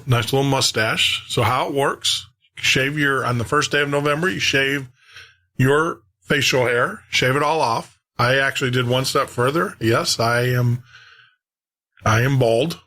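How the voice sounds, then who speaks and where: Guy hosting a radio style podcast, medium pitch, casual chatty intro, repeats himself a bit. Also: a little slow, bright, forceful tone. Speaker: podcast host. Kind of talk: casual radio show talk introducing old-time radio drama.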